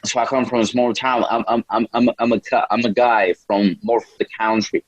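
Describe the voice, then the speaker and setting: A young man from a small town in Brazil with a Brazilian accent, answering interview questions casually in halting non-native English, often repeating words. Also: medium pitch, flat delivery, no room sound, highs cut off. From Brazil, small town in the north. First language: Portuguese. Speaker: MMA fighter, podcast guest.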